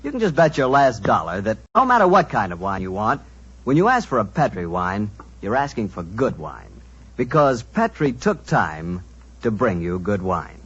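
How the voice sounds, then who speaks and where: An American man announcing and pitching for a sponsor in 1940s radio style, chummy and salesmanlike. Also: big pitch swings, normal speed, fairly bright tone, breathy edge. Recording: muffled old-time radio recording.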